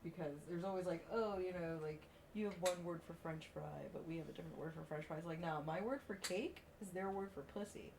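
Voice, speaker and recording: An American woman chatting casually as she tells personal anecdotes in an animated way, with some swearing. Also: on the low side, fairly quick, hardly any pauses, noisy background. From Florida, USA, of Puerto Rican background. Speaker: podcast guest.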